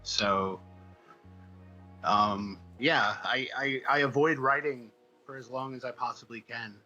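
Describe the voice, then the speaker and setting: A man chatting casually as an interview guest, halting and self-deprecating as he thinks out loud. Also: mid-range voice, lo-fi sound, soft background music. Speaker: guest, comic book writer.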